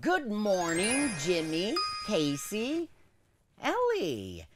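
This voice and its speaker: A man's voice: sits high, wildly swooping pitch, extremely slow, over loud music, full character voice. A middle-aged man playing a goofy, dim, cheerful school coach in a kids' comedy, with a rambling, clownish delivery. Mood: cheerful, oblivious. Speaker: school coach and science teacher.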